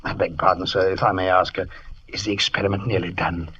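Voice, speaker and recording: An actor playing a timid, polite and hesitant servant of a Victorian scientist in an old radio drama. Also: male voice, deep voice, little pitch movement, fast talker, a bit bright. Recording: dull, noisy old radio recording.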